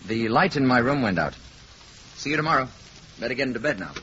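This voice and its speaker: An actor playing a calm, courteous alien man passing as a mild lodger in a 1950s radio play. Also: medium pitch, close to an everyday voice. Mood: pleasant, guarded. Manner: polite, measured wording, gently assured. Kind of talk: 1950s radio drama acting. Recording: dull, noisy old radio recording.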